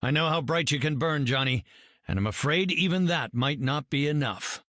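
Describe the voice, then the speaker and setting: An actor playing a brainy scientist hero in a video game, measured, earnest and precise. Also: male voice, fairly high pitch, fairly bright tone, no echo, studio quality, close to an everyday voice. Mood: sober.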